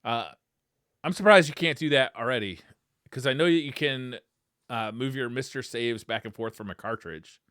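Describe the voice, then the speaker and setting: A man's voice: mid-range voice, normal speed, a bit bright, clear, resonant tone, no room sound, studio quality. A man co-hosting a podcast, chatting casually and enthusiastically about video game news in informal, slangy language. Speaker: podcast co-host.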